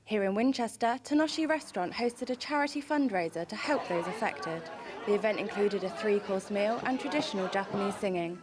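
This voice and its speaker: A woman's voice: medium pitch, lively intonation, fairly quick. A woman reading a scripted news report as a reporter. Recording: music and crowd chatter behind.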